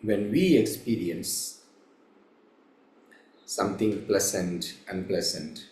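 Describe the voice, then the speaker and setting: A man with a fairly low voice leads a guided meditation in an echoing room. He speaks very slowly, setting out each instruction in short, measured phrases. Long pauses separate one phrase from the next. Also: darkish tone. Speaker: meditation teacher. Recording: roomy, echoing room sound.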